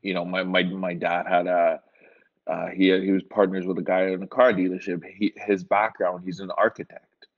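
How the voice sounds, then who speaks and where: A man from Iran, a guest in a casual interview, telling his story in a relaxed, rambling way with lots of filler words. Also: low voice, normal speed. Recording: dull lo-fi sound.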